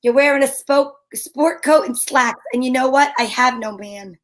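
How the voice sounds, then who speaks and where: Sassy high-voiced lady private eye, played by a woman. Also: moderate pace, close to an everyday voice. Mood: wry, impatient. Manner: brash, slangy, wry. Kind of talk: old-time radio detective acting.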